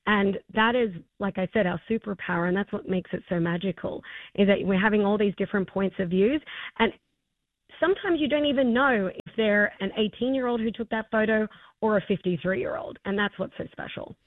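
A woman's voice: medium pitch, a little fast, no echo. Enthusiastic Australian woman, slightly muffled dry recording. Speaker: interview guest, arts festival co-founder. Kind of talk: conversational interview.

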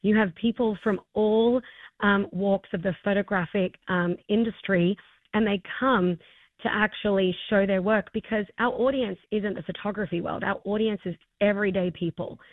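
A hoarse woman in her forties with an Australian accent, a festival co-founder answering interview questions enthusiastically, with many fillers and restarts. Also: mid-range voice, fairly even intonation, moderate pace, no echo. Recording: tinny phone line, dry.

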